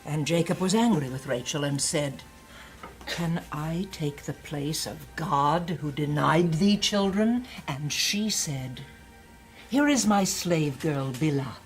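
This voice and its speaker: An actress playing a stern, fanatical older indoctrinator in an audio drama, preachy and scolding. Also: female voice, low-pitched, lively intonation, slow, faint music behind, full character voice. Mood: solemn.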